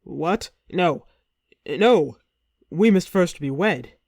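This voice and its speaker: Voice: medium pitch, animated, very slow, a little mellow, no room sound, clean studio sound, heavily acted. A narrator voicing an awkward young warrior in disguise. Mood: flustered. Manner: stiff, awkward, forced cheer. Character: young warrior man posing as a king, uneasy. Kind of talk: audiobook character voice.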